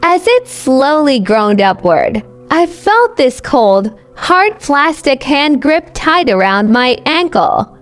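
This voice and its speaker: A woman narrating a scripted first-person horror story in a conversational but deliberate, dramatic way. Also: high voice. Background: music bed.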